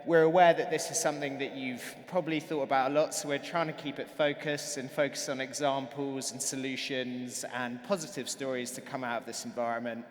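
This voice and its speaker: A man's voice: medium pitch, fairly even intonation. Upbeat male panel moderator. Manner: brisk, friendly, upbeat. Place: large conference hall stage. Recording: long hall reverb.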